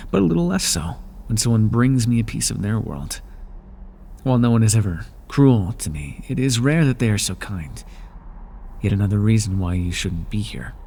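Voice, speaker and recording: A man's voice: lowish voice, warm tone. A man playing an aloof, lonely god of the underworld in an ASMR roleplay, talking in a dry, languid and sardonic way.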